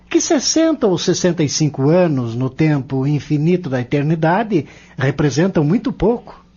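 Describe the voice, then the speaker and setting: A man with a Brazilian accent playing an inquisitive, earnest young student in an audio novel, speaking deliberately. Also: fairly high pitch, warm tone, slightly rough. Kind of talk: dramatized audio novel dialogue. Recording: dull lo-fi audio.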